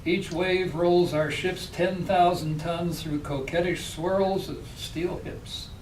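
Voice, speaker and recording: A man's voice: high-pitched, fairly even intonation, takes it slowly. A man who is a poet, reading his own work aloud at a live poetry reading. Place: public library reading room. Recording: echoey room, distant mic, faint noise.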